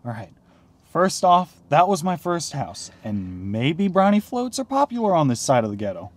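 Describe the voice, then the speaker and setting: A man's voice: fairly high pitch, sing-song, normal speed. Cocky young bumbling agent, a man acting. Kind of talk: amateur comedy sketch acting.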